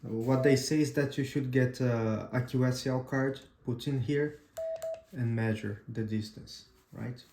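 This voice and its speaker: A man whose first language is Portuguese gives a casual tutorial demo, pausing often with "uh" and restarting his sentences. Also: medium pitch, steady tone, reverberant, background noise. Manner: halting, frequent "uh" and restarts, checks in with "right?". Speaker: amateur radio instructor.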